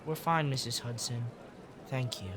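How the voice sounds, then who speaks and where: A man's voice: mid-range voice, normal speed, over music, lightly acted. An actor playing an earnest, driven street boy in an audio drama, speaking with urgent insistence. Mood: subdued. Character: Wiggins, earnest, driven street boy leading young irregulars.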